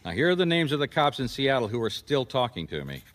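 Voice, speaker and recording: An actor playing a wry, easygoing private detective with an American accent in a radio mystery, conversational and probing. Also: male voice, mid-range voice, big pitch swings, quick pace, fairly bright tone. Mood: brisk. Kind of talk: radio mystery drama with first-person narration.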